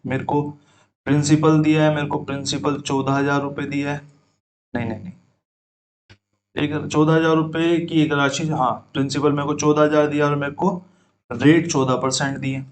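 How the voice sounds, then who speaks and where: Young male math tutor, step-by-step explainer, slightly higher pitch. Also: flat delivery, moderate pace, slight background noise. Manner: talks students through each step, addressing them as brother. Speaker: math teacher. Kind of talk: exam-prep math lesson.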